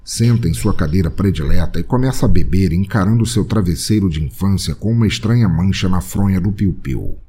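A man's voice: low-pitched, lively intonation, a little fast, hardly any pauses, dark tone, husky. A man narrating a comedy audio drama in a dramatic, scripted storytelling style.

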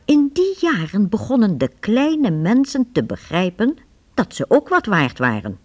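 A woman narrating a Dutch radio play in a measured, dramatic storytelling manner. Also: mid-range voice, big pitch swings, darkish tone. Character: storybook narrator of a medieval adventure.

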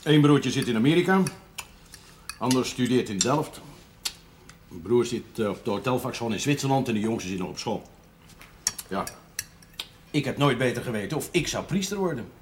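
An actor playing a worldly, good-humoured priest in a television drama, talking in an expansive, amiable way. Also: male voice, medium pitch, lively intonation, moderate pace, pauses often, lightly acted. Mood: matter-of-fact. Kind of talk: television period drama acting. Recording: slightly noisy old television soundtrack.

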